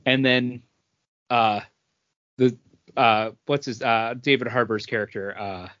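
A man's voice: mid-range voice, steady tone, takes it slowly, a bit bright, dry recording, lo-fi sound. A man co-hosting a podcast in a casual, rambling chat about comic book movies. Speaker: podcast co-host.

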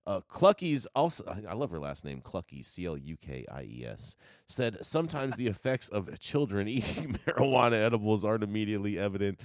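A man's voice: fairly low pitch, very animated, brisk, hardly any pauses, no room sound, clean studio sound. A man hosting a casual news chat, sarcastic, often stumbling and restarting mid-sentence. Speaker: news show host.

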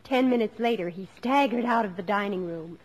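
An actress playing a wry grown daughter narrating family memories in a 1950s American radio drama, deliberate and storylike. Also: female voice, medium pitch, natural voice, low-quality recording. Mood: wry, mock-sorrowful.

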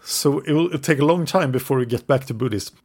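English-speaking man, podcast co-host, mid-pitched, narrates history from notes with the odd hesitation. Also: fast, breathy edge. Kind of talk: casual history podcast storytelling.

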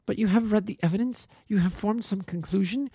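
A man's voice: fairly quick, mellow, slightly rough, no echo. A man voicing an earnest young woman, breathless and insistent as she pleads. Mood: pleading. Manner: breathless and insistent, rising high. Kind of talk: audiobook character voice.

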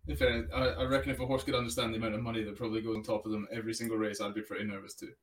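A man in his twenties hosts a casual chat, talking fast and laughing easily. His voice sounds roomy, picked up from a little way off the mic. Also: fairly low pitch, level tone, far from the mic. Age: 20s. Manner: quick, jokey, laughs often. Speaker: host.

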